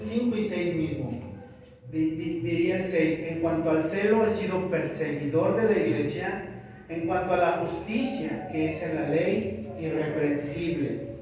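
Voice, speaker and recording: A man's voice: sits high, darkish tone. A man preaching a sermon in Spanish, explaining scripture and speaking Paul's words as quotes. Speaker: pastor. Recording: echoey church from a distant mic.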